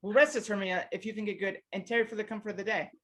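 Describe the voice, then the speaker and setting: Ardent young suitor in a stage play , a woman in the role, mid-pitched, declaims verse. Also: fairly even intonation, very fast, a bit bright, lightly acted. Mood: tender. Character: ardent young male lover, earnest. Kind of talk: Shakespearean stage acting.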